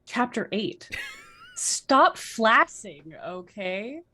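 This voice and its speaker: Woman as a slow, sulky teenage author. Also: fairly high pitch, very animated, pushed and bright, exaggerated voice. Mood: petulant, defensive. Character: defensive, sulky teenage fan fiction author. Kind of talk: comedic dramatic reading of author's notes.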